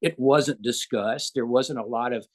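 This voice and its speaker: An older man with a Southern US accent explains things as a guest doctor. His voice sits at an ordinary middle pitch, and he speaks in a careful, measured way, pausing on fillers and restarting his sentences. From Southern United States. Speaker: physician guest. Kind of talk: conversational interview answers.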